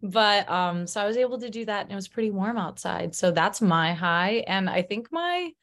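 A woman's voice: mid-range voice, expressive, normal speed, a bit bright. A young woman from Chicago co-hosting a casual podcast, bubbly and chatty, laughing often and using many fillers. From Chicago, United States. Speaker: podcast co-host.

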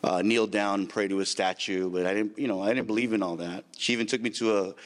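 A middle-aged man from Santa Maria, California, an evangelist telling his testimony in a calm, measured way. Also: fairly low pitch. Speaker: evangelist guest. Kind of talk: testimony in an interview. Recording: long hall reverb.

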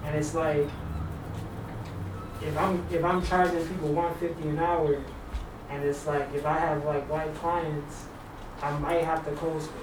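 A blunt, candid young man with a somewhat high voice pauses often and restarts with fillers. He sits far from the mic in an echoing room with steady noise behind. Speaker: student in a counseling program. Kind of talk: casual group discussion. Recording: echoey room, distant mic, background noise.

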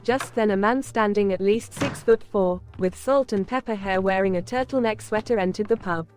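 A woman's voice: mid-range voice, normal speed, a little mellow, soft background music, lightly acted. A woman narrating an audio drama in a scripted storytelling style. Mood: dramatic storytelling.

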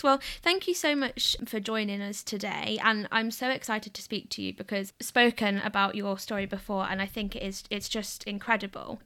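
Woman, podcast host, medium pitch, encouraging interviewer. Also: fairly bright tone. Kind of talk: scripted intro, then casual interview.

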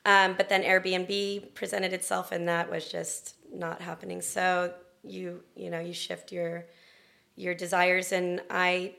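A middle-aged American woman speaks in a fairly low, gravelly register. She talks as a guest in a casual interview, chatty and pausing to find her words as she tells her story. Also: little pitch movement, bright, forceful tone. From Florida and Texas, then Long Beach, California. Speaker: interview guest, restaurant owner.

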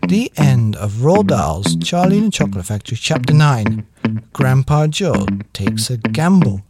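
A man reading a children's story as an expressive narrator. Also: medium pitch, measured pace. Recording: music behind the voice.

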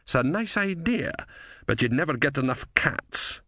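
A man's voice: medium pitch, big pitch swings, normal speed, bright, forceful tone, dry recording, studio quality, voice pushed up. A man voicing a drily unimpressed male philosopher with a sardonic manner in an audiobook. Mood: wry.

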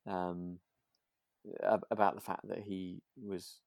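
A man co-hosting a podcast in relaxed, casual chat, feeling his way through thoughts with repeats and rephrasings. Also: low voice, takes it slowly, creaky, no room sound, studio quality. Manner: hesitant, repeats and rephrases himself. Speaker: podcast co-host. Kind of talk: relaxed casual chat about a radio drama.

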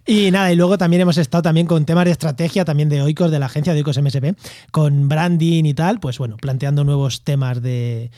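A man's voice: on the high side, fairly even intonation, fairly quick, warm tone, no room sound, studio quality. Chatty man hosting a podcast. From Castilla-La Mancha, Spain. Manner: chatty, jokey, self-deprecating. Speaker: podcast host. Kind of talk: casual podcast chat.

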